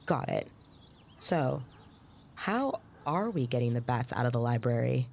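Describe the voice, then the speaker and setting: An actress playing an earnest young trainee, narrating her story in the first person in a measured, descriptive way. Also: a bass voice, lively intonation, vocal fry, darkish tone, slight background noise, full character voice. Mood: earnest, uneasy.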